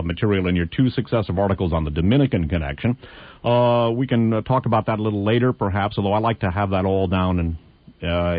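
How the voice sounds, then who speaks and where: A hoarse man hosting a radio interview, earnest and halting, with many fillers. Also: fairly low pitch, no echo. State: hoarse from laryngitis. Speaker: radio host. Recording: muffled lo-fi radio broadcast.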